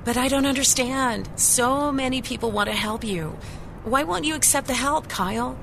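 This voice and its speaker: A woman's voice: high voice, moderate pace, vocal fry, a bit bright, slight background noise. An actress playing a determined, caring and persistent female news reporter in a radio drama. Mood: impatient, pleading. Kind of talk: scripted radio drama acting.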